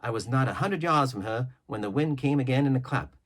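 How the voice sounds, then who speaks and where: Expressive male storyteller with a husky voice. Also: medium pitch, a little fast. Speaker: audiobook reader. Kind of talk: expressive read-aloud of a classic adventure novel.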